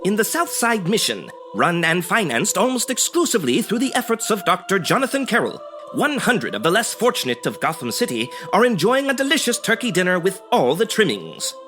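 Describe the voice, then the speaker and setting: A man's voice: high voice, sing-song, fairly quick. A man playing a melodramatic narrator in the manner of an old-time radio serial. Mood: dramatic. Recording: music under the voice.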